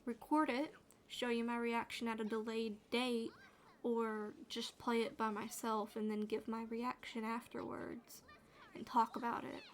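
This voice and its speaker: A woman gaming streamer with a naturally quiet, soothing voice, chatting in a loose, rambling way while she plays. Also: on the high side, flat delivery, a little slow, a little hiss or hum. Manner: rambling, with fillers and restarts. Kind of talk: soft-spoken gaming chat.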